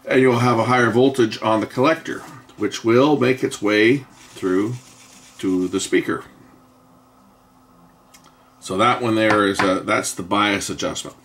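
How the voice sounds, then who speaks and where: A man giving an unscripted, hands-on technical explanation in a halting way, often pausing and repeating himself to correct what he says. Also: fairly low pitch, fairly bright tone. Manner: halting, self-correcting, with frequent pauses and repeats. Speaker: host of an electronics repair channel.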